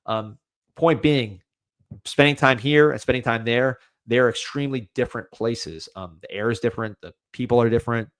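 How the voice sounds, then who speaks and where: A man's voice: mid-range voice, moderate pace, a bit bright, no echo. A young adult man with a light Cuban-American accent hosting a casual livestreamed sports podcast, rambling with restarts and a lot of "man.". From United States. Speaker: podcast host.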